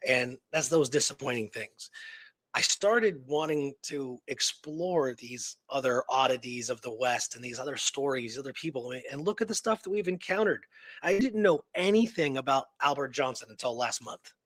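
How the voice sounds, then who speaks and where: A man's voice: medium pitch, normal speed, a bit bright, dry recording. A man co-hosting a history show in casual, unscripted chat, rambling and conversational with emphatic repetition. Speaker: co-host of an Old West history show.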